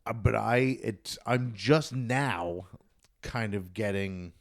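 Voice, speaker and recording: Low-voiced man rambling in casual chat. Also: vocal fry. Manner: rambling, halting, sweary. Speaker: podcast host and band bass player.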